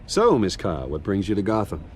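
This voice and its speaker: A man's voice: deep voice, wildly swooping pitch, brisk, a little mellow, a little hiss or hum. An actor voicing a guarded, brooding billionaire man in his thirties in a video game, terse and dry. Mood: probing. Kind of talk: cinematic video game voice acting.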